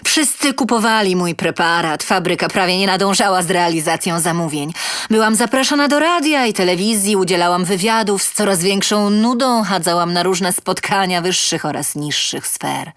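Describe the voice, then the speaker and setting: A woman playing a self-satisfied, sensual lonely inventor, telling her comic tale in a theatrical, expressive manner. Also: lowish voice, normal speed, no room sound, studio quality. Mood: wry, bored. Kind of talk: dramatic comic story narration.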